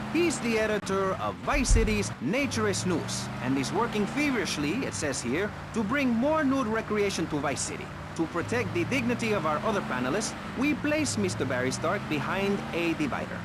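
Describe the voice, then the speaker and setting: An excitable radio panel host with a high, swooping voice. He moderates a satirical show with flippant, theatrical politeness, over loud traffic noise. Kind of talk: satirical public radio hosting.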